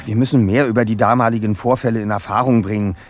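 A man's voice: lowish voice, steady tone, quick pace, slight background noise, close to an everyday voice. An actor playing a clever, self-assured boy detective in an audio drama, speaking eloquently and precisely with a slightly pompous manner. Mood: cautioning, assured. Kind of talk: German audio drama acting.

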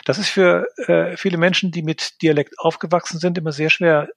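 A man with a gravelly, slightly husky voice talks through his points slowly and deliberately, pausing often with fillers. His pitch sits a little high for a man. The sound is close and dry, with no room around it. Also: steady tone, fairly bright tone, no room sound. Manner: measured, hesitant with many fillers. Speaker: linguist guest. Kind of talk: expert interview answers.

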